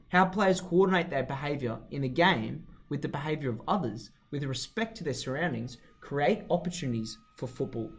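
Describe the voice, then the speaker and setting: A man narrating a scripted educational video in a formal, explanatory manner. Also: medium pitch.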